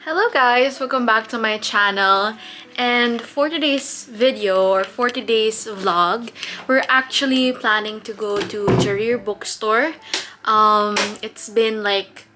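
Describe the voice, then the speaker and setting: A woman's voice: fairly high pitch, slow, background noise. A woman with a Filipino accent chatting casually as a book vlogger, full of fillers and self-corrections. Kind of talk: casual vlog chat.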